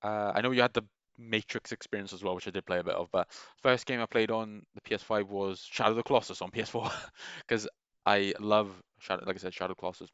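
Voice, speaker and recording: A man with a very low, smooth and resonant voice talks through a loose, rambling monologue with plenty of enthusiasm and frequent restarts. The sound is dry and a little dull, like a lo-fi recording. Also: steady tone, fast, fairly bright tone, no room sound. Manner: meandering, enthusiastic, many restarts. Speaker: gaming video creator.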